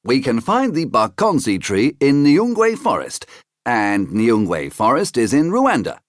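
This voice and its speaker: A man's voice: mid-range voice, huge pitch swings, unhurried, no room sound, clean studio sound, pitched above the performer's own voice. A man voicing a kindly, cheerful uncle in a raised, eager voice.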